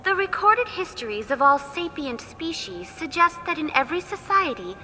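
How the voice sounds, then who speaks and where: A woman playing a polite, impassive virtual tour guide program in a game, speaking in formal, measured, instructive phrases. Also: very high voice, big pitch swings, bright, forceful tone, heavily acted. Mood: courteous, impassive. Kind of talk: scripted informational guide narration. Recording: echoing hall, faint background noise.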